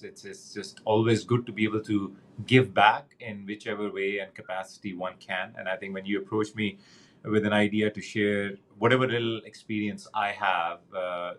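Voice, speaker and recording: A middle-aged man from Pakistan answers interview questions in a fairly low voice. He speaks earnestly and haltingly, full of fillers and restarts. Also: fairly bright tone, low-quality recording. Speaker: guest, banking executive. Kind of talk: conversational interview.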